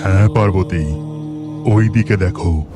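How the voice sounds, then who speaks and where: A man voicing a calm, all-seeing god in a mythological cartoon, grave and measured. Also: deep voice, music in the background.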